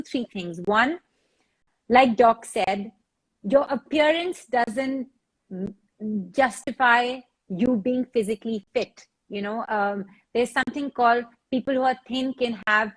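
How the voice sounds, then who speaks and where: A woman's voice: fairly high pitch, poor audio. A woman, explaining as a fitness trainer on a discussion panel, repeating words as she thinks aloud. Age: 40s.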